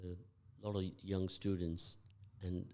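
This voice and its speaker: A man hosting a morning radio show, his voice sitting on the low side. He chats loosely and rambles, stopping to fill gaps as he thinks. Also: little pitch movement, a little mellow. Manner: rambling, halting with many fillers. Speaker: radio breakfast host. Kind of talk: casual radio chat.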